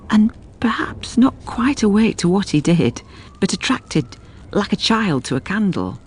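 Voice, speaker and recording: An actress playing a level-headed housekeeper who narrates a gothic radio drama in a measured, grave, reflective way. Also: female voice, medium pitch, very animated, a little mellow, slightly rough. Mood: hushed, observant.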